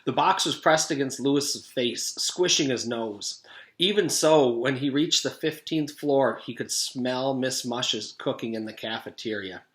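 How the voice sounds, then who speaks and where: A man narrating a children's storybook as a teacher reading aloud, with expressive, deliberate storytelling. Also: mid-range voice. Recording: echoey room.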